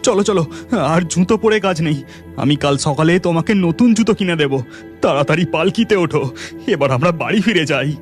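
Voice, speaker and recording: A man's voice: a little high, lively intonation, lightly acted. A man voicing a brusque, bossy, impatient village bridegroom in a cartoon story, with a commanding manner. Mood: urgent. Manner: commanding, hurried. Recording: music behind the voice.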